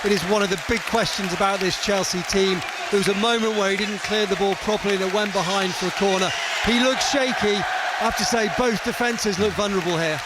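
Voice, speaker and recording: A man reports live on a football match in a high voice. A huge crowd roars behind him and heavy noise fills the recording. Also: steady tone, brisk. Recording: loud stadium crowd noise. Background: roaring stadium crowd.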